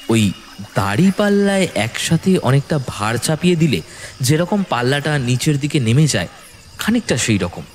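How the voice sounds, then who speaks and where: A man narrating a scripted horror story in a dramatic, suspenseful way with deliberate phrasing. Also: mid-range voice, slight background noise.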